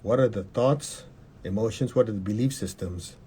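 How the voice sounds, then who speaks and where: A man leading a guided meditation in a calm, measured way, repeating short instructions and having the listener repeat affirmations. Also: fairly low pitch, slight background noise.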